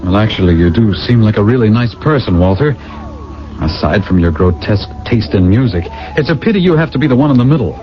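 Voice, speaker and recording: An actor playing an earnest, stubborn lover in a radio drama, with a firm, intense delivery. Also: male voice, on the low side, animated, fast talker, natural voice. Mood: indignant. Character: earnest, stubborn man in forbidden love. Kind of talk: 1980 radio drama acting. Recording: dull vintage radio, background music.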